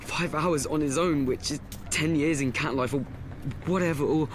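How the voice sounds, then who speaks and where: A young actor playing an anxious, self-doubting teenage boy, confiding in a halting, stammering radio play monologue. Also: a little high, noisy background, close to an everyday voice. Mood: panicked. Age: young adult.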